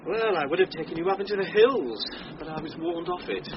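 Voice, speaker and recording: An actor playing a wry man in charge of schoolboys, gently teasing and questioning, in a radio drama. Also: sits high, lively intonation, a little fast. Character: wry adult man leading schoolboys camping. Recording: muffled radio drama with vehicle noise.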